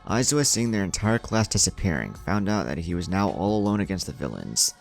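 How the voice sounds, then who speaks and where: A man's voice: low voice, sing-song, fairly quick, gravelly, faint music behind. A man reading a scripted story as a narrator, with dramatic delivery. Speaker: narrator of a fan fiction story.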